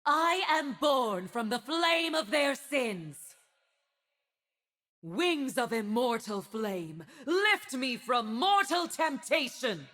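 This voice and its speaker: Casting: divine female avenger for a video game; high-set voice, very measured pace, stern, zealous, grandiose. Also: sing-song, a bit bright, heavily acted. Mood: fervent, righteous. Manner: solemn, grandiose declarations. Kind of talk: video game character voice lines.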